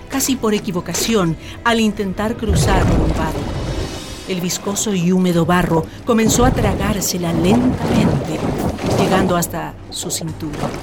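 A woman with a Chilean accent narrating a radio drama as a scripted legend, solemn and dramatic. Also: medium pitch. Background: music.

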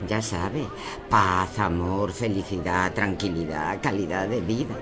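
A man's voice: deep voice, measured pace, a crowd in the background. A man playing a docile, smug female shopper in a surreal radio drama, theatrical and preachy, with a Castilian Spanish accent. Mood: blissfully smug. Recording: music under the dialogue.

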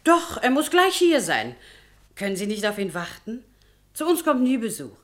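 A woman's voice: fairly high pitch, very animated, normal speed. A motherly housekeeper in an old radio drama, talking in a fussing, chatty way. She is eager for company and always ready to help. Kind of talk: 1950s radio play acting.

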